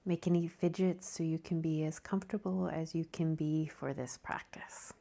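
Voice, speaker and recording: A woman teaching yoga nidra, leading a guided meditation in a gentle, calming, softly breathy way. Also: deep voice, moderate pace. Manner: calming, gentle, soft-spoken with breathy softness.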